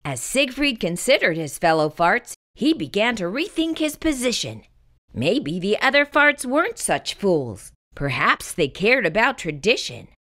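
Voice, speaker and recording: A woman reading a children's picture book aloud in expressive, scripted narration. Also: medium pitch, measured pace.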